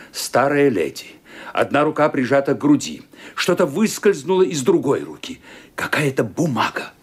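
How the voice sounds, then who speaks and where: Expressive male radio play narrator. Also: mid-range voice. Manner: expressive, intense storytelling.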